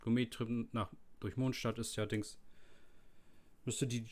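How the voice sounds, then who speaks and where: A man's voice: medium pitch, flat delivery, unhurried. A man giving casual, laid-back let's play commentary, thinking aloud as he goes.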